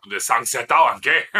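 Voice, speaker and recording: An actor playing a blustering, tipsy Bavarian sergeant who poses as a general, in dubbed sitcom comedy style. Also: male voice, mid-range voice, sharp tone, slight background noise, full character voice. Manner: blustery, exclaiming, indignant.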